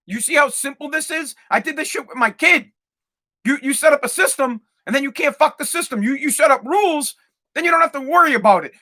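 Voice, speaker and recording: A man's voice: extremely high pitch, pushed and bright. A male podcast host delivering a profane, fired-up rant with lots of repetition. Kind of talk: ranting monologue.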